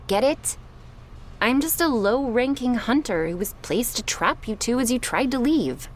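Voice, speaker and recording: A woman acting confrontational women in a vampire crime syndicate roleplay, speaking deliberately in a dramatic audio style. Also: a little high, lively intonation, a little hiss or hum. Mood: hostile.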